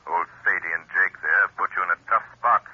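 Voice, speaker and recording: An actor playing an invisible hero who speaks in a calm, commanding, measured manner, in a 1930s radio drama. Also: male voice, low voice, slightly rough. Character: unseen avenging hero speaking from nowhere. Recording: muffled old radio recording.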